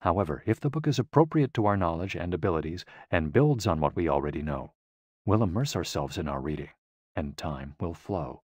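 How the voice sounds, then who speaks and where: A man reading a scripted audiobook in a measured, clearly phrased, explanatory manner. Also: sits low, lively intonation, darkish tone, dry recording, clean studio sound. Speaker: audiobook narrator.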